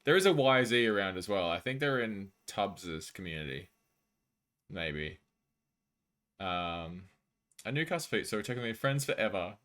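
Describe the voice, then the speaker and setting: A man's voice: lowish voice, expressive, normal speed, long pauses, fairly bright tone. An Australian man live streaming, chatting casually and jokily with viewers as he reads out their messages.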